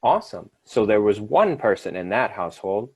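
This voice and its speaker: A man's voice: on the low side, very animated, normal speed, a little mellow, breathy edge, dry recording. A man leading a children's activity, giving instructions to kids and families in an upbeat, encouraging way.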